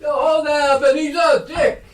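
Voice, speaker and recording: A man's voice: extremely high pitch, little pitch movement, measured pace. A man on stage playing a jovial, hearty old warehouse master, calling out in exclamations. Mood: jolly, bustling. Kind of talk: stage play acting. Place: theatre stage. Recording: roomy live stage, distant mic, faint noise.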